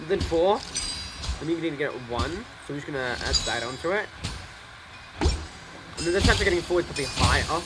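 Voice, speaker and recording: A man's voice: on the high side, expressive, normal speed, long pauses, poor audio. A young man casually commenting on his gameplay, rambling, swearing and repeating himself. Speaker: gameplay streamer. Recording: game music playing behind.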